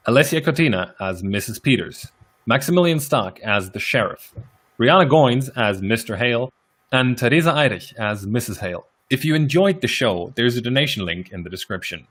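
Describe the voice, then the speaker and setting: A man's voice: medium pitch, expressive, brisk, fairly bright tone. A man reading scripted closing credits as the announcer of a radio play.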